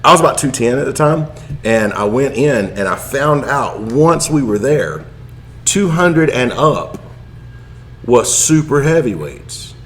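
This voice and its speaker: A man's voice: mid-range voice, animated, a little slow, slight background noise. A man chatting casually as a podcast guest, telling wry, self-deprecating anecdotes.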